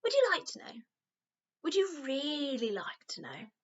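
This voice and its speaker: A woman's voice: high voice, big pitch swings, unhurried, lo-fi sound. A woman voicing a kindly, chatty old lady in a storybook, with a merry, reassuring manner. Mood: earnest, confiding.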